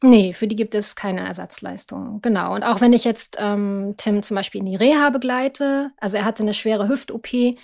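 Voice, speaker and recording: A woman's voice: medium pitch, moderate pace, creaky, hardly any pauses, darkish tone. A middle-aged woman speaks as a guest in an interview, recounting personal experience. She is reflective and often hesitates as she looks for the right word, keeping a conversational tone. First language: German. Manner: reflective, hesitant, searching for words.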